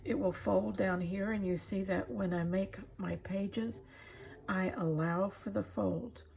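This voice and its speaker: A middle-aged woman giving a casual craft demonstration as she works, pausing often to think. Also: mid-range voice, fairly even intonation, darkish tone. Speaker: craft tutorial host. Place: indoors at a craft table. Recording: clear background noise, room ambience.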